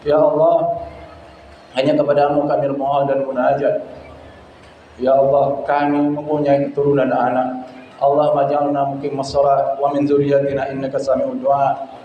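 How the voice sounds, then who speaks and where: A man's voice: mid-range voice, completely flat delivery, normal speed, long pauses, slight background noise. A male preacher leading a fervent, pleading prayer at the close of a sermon. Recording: echoey hall, dull lo-fi sound.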